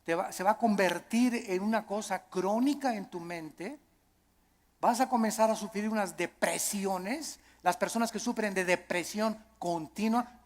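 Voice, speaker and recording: A male preacher with a Mexican accent delivers a sermon in a large hall with long reverb trailing his words. He is emphatic, driving points home through repetition and rhetorical questions. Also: high voice.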